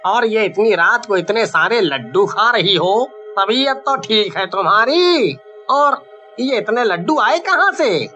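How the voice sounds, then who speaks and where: A man acts out a worried, bewildered husband in an animated horror tale. His voice is high and sharply bright, delivered slowly with anxious questions and exclamations, over light background music. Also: lo-fi sound, heavily acted. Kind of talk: dramatized Hindi animated horror-story acting.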